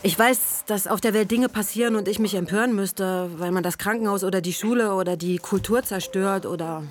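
A woman's voice: mid-range voice, brisk, slight background noise, lightly acted. A woman playing a brash, self-mocking middle-aged actress who uses drugs, in a naturalistic radio drama monologue with blunt, conversational delivery. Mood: irritated, blunt.

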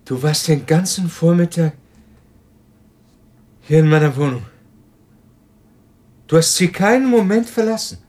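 An actor playing an agitated male scientist in a radio drama, halting and intense, repeating himself. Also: fairly high pitch, lively intonation, darkish tone. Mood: tense, disbelieving. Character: Peter, agitated male scientist shaken by a death threat. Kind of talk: German radio drama acting.

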